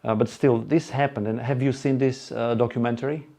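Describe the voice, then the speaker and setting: A man with a Slovak accent giving an informal, chatty talk to a live group, halting now and then for asides. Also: mid-range voice, steady tone, gravelly, dark tone. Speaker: presenter at an electric car group event. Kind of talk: live presentation to a small audience.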